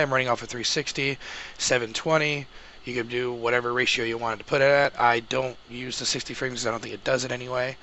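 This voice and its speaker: Man, casual tutorial host, bright forward voice, informal step-by-step explaining, noisy home recording. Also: medium pitch. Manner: informal, explanatory, addressing viewers directly.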